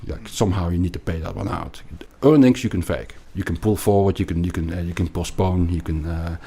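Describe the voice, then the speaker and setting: A man with a Belgian accent explaining things as an interview guest, haltingly and emphatically, often repeating words. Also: deep voice, vocal fry, mellow, husky. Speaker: podcast guest, investment analyst.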